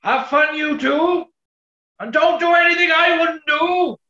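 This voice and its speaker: An actor playing a wealthy, breezy, imperious composer with a plummy, theatrical manner in a 1940s-style radio comedy. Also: male voice, very high-pitched, unhurried, bright, forceful tone, heavily acted. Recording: echoey, dull room recording.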